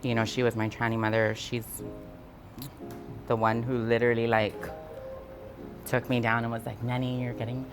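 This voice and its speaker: A woman from Guam speaks in a casual, reflective interview style. She is candid and conversational, leaning on key words for emphasis. Music plays clearly behind her voice. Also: extremely low pitch, completely flat delivery, normal speed, long pauses. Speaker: interviewee, freelance designer and builder. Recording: background music under the voice.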